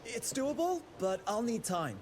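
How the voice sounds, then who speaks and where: An actor playing an eager, nervous young engineer who rattles off words and stammers. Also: male voice, very high voice, big pitch swings, a little slow, a little mellow, slight background noise, full character voice.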